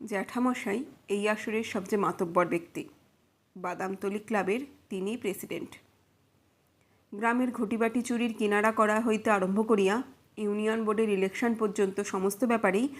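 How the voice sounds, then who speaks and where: A woman reading a literary detective story aloud as scripted audiobook narration. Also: mid-range voice.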